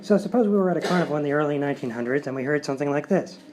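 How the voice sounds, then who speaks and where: A man's voice: fairly high pitch, animated, rapid-fire, a crowd in the background. A man introducing a sketch to an audience as presenter of a live comedy show.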